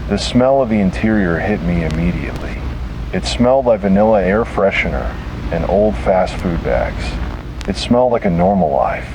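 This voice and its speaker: A man's voice: sits low, sing-song, moderate pace, noisy background. A man reading a scripted first-person horror story in a grave, dramatic way.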